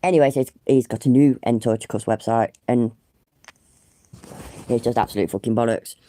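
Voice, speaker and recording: A woman's voice: a bass voice, fairly even intonation, a little fast, warm tone. A chatty woman with a stuffed-up cold, hosting a casual livestream on her phone and swearing freely. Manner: chatty, sweary, self-deprecating. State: congested with a cold. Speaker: livestream host. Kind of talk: casual live stream chat.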